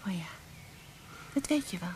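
A woman's voice: medium pitch, expressive, moderate pace, natural voice. An actress playing a frank, devoted young woman in a radio play, teasing and affectionate. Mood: tender. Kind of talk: Dutch radio play acting. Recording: noisy old radio play recording.

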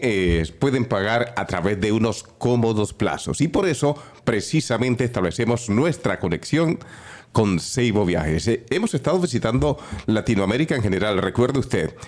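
A man's voice: fairly low pitch, darkish tone. A man co-hosting a morning radio show, introducing a sponsor segment in a formal, emphatic announcer manner. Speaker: radio co-host.

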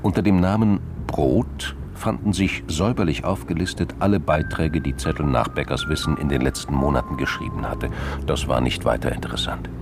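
Male crime drama narrator, extremely low and creaky, scripted delivery over music. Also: a little fast. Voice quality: gravelly.

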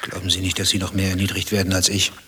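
Brooding, bitter betrayed husband over background noise. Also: male voice, low voice, completely flat delivery, quick pace, pushed and bright. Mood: subdued, wounded. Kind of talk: German radio play dialogue.

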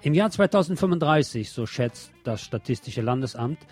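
A man's voice: mid-range voice, lively intonation, moderate pace, darkish tone, faint music behind. A man presenting a radio magazine, reading scripted news reports.

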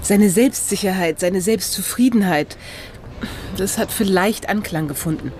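An actress playing a brisk, practical, sardonic best friend with a blunt, dry manner in a German radio crime drama. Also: female voice, mid-range voice, darkish tone, slight background noise, lightly acted. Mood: dismissive, dry.

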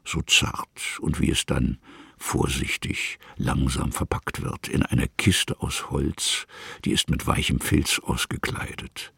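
A man with a gravelly, breathy voice, narrating a literary radio play in a musing, halting manner that sometimes sinks to a hush. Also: very deep voice, fairly even intonation, no room sound. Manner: musing, halting, hushed at times.

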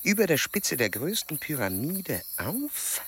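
An actor playing an earnest, proud, meticulous male German explorer in a radio drama, speaking in formal, precise, measured diction. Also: on the high side, huge pitch swings, a bit bright, slight background noise, full character voice. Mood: grave, pondering.

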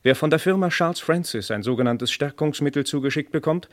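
A man's voice: fairly high pitch, brisk, no room sound, lightly acted. Dry, emphatic military announcer acted by a man. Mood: urgent, official. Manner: emphatic, official, declamatory. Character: urgent military courier voice issuing a warning. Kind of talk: vintage German radio crime drama acting.

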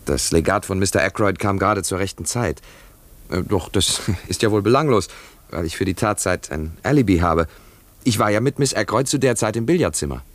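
An actor playing an embarrassed young secretary in a 1950s German radio drama, hesitant and stammering with nervous little laughs. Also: male voice, on the low side, lively intonation, fairly quick, no room sound, natural voice. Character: embarrassed young male secretary making a confession.

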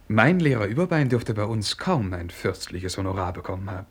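An actor in a German radio play playing a courteous, reserved young prince who speaks formally and hesitantly in a husky voice. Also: male voice, fairly low pitch, sing-song, normal speed. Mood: reflective.

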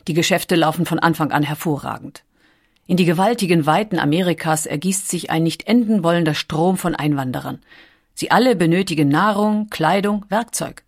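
A woman reads scripted documentary narration as an audiobook narrator. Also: on the low side.

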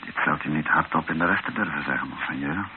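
An actor playing a respectful, sombre aide to a missionary bishop in a radio drama, measured and deferential. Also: male voice, extremely low pitch. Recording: hissy, dull old radio recording.

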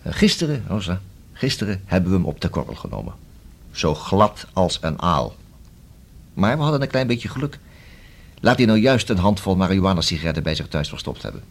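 An actor in a Dutch radio drama playing a probing police inspector, courteous but blunt, speaking in a deliberate, explanatory way. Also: male voice, low voice, sing-song, creaky, full character voice. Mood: explaining, intent.

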